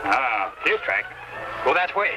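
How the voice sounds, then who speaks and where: A loyal, stoic tracker, speaks in clipped, broken English with moving pitch. It is a vintage radio Western with loud music behind. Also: male voice, very animated, measured pace, poor audio. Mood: matter-of-fact. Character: stoic, helpful male tracker, the hero's loyal companion. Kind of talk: 1930s-40s Western radio acting. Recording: old-time radio recording.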